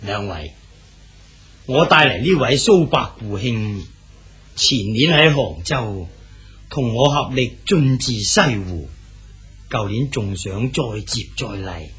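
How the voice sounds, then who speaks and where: A slow, sighing official host. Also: male voice, mid-range voice, animated, a bit bright. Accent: Cantonese. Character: official hosting old friend, man, regretful. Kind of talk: Cantonese radio drama acting.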